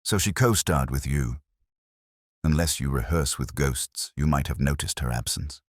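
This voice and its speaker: A man's voice: very deep voice, normal speed, no echo, clean studio sound. A man voicing a wry, probing male private investigator in a detective audiobook, sardonic and pressing.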